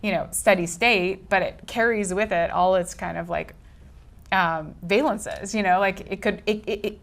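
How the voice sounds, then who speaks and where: A woman interviewing an author at a bookstore event, asking long, animated questions with many fillers. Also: mid-range voice, moderate pace, creaky. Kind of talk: casual conversation at a book event.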